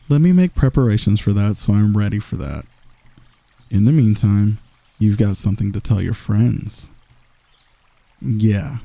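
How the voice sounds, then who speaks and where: A man voicing a smug, flirtatious villain in a soft, intimate, teasing ASMR roleplay, close to the microphone. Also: lowish voice, gravelly, no room sound.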